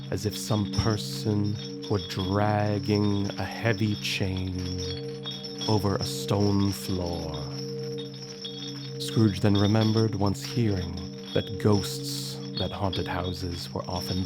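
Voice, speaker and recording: An actor as a measured, grave narrator of an audio drama, telling the story with suspense. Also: male voice, sits low. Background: music.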